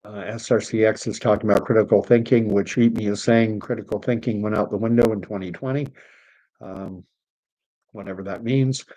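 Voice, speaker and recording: A middle-aged man from Canada hosts a live stream, reading viewer comments aloud and drifting off topic. His voice sits on the low side and is tiring, hoarse from talking for an hour. He hesitates often, filling gaps with ums and uhs. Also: steady tone, brisk, mellow, low-quality recording. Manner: rambling, hesitant, many fillers. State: losing his voice.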